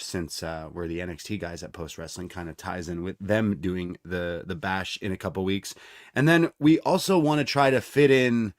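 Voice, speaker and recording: A man's voice: fairly low pitch, no room sound, studio quality. A man co-hosting a podcast, talking in a casual, rambling, conversational way. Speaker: podcast co-host. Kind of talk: casual chat.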